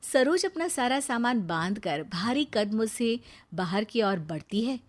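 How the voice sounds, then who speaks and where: A woman with a fairly high voice narrating a cartoon moral story. She tells it calmly and plainly, linking the scenes. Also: a little slow.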